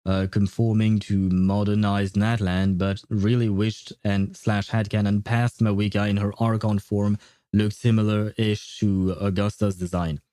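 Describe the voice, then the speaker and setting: A man's voice: lowish voice, little pitch movement, measured pace, warm tone, no echo, studio quality. A man chatting casually as a game livestream host, rambling and opinionated. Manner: rambling, opinionated, often chuckling. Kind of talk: casual gaming livestream chat.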